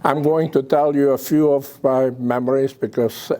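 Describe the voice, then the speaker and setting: An older man with a Belgian accent giving a public talk about his memories, speaking haltingly with pauses. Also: medium pitch, steady tone, dark tone. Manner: halting, hesitant delivery with pauses. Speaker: guest speaker.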